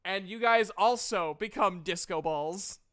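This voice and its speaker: A man's voice: sits high, unhurried, a bit bright, smooth. A man casually narrating a tabletop game as game master, swearing as he reacts.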